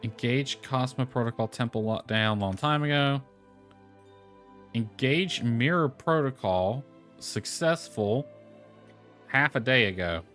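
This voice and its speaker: A man's voice: mid-range voice, slow pace, long pauses, a bit bright. Male game streamer over background music. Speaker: video game livestreamer. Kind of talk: casual livestream talk, reading game text aloud. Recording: music playing behind the voice.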